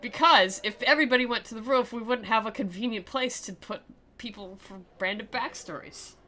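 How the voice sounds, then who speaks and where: Bright-voiced woman, sarcastic game commentary. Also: on the high side, a little fast, a little hiss or hum. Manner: mocking, theatrical, often breaking into giggles. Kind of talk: sarcastic playthrough commentary with dramatic reading.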